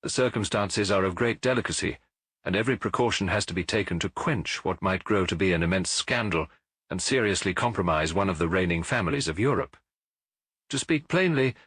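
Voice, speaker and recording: A man voicing a grave, imperious Bohemian king in disguise for an audiobook, speaking formally and deliberately. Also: fairly low pitch, fairly bright tone, husky, dry recording. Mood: formal, guarded. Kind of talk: audiobook character voice.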